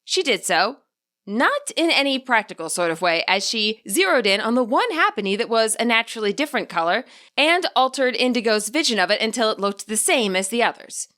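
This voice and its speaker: A woman's voice: fairly high pitch, sing-song, a little fast, pushed and bright. A woman reading her own fan fiction aloud as a scripted story narration.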